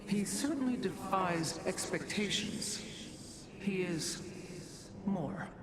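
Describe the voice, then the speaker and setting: A man's voice: sits high, animated, slow pace, over a noisy crowd. An actor playing a skeptical, wary male alien warrior in a video game cutscene, speaking formally and pointedly. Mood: guarded.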